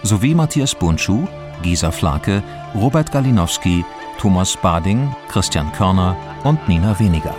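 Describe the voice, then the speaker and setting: A male announcer reads radio drama credits in a scripted style. His voice is low, and music plays clearly behind him. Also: lively intonation, moderate pace.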